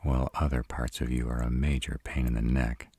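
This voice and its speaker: Extremely deep dark voice, sarcastic vampire acted by a man, brooding, dry, grudging, roleplay audio. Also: little pitch movement, normal speed, gravelly, slightly rough, no room sound, exaggerated voice. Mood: teasing, dry. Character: sarcastic brooding male vampire with a reluctant soft spot.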